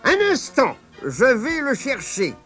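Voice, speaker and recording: A man voicing a sly fairground charlatan doctor who booms out a drawn-out sales pitch. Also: wildly swooping pitch, bright, forceful tone, faint music behind, full character voice. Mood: hawking.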